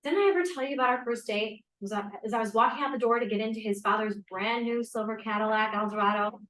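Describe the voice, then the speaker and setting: A woman reading the part of a confident, principled young scientist in a period drama, measured and earnest. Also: a little high, a bit bright, recorded from a distance, close to an everyday voice. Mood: earnest, firm. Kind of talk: table read of a period drama. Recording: echoey room.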